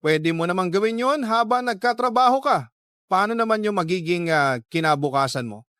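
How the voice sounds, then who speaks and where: A man's voice: high-pitched, expressive, normal speed, fairly bright tone, clear, resonant tone, dry recording, studio quality. A man voicing a chatty, nosy young female friend in a radio drama, with a probing, exclaiming manner. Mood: probing concern.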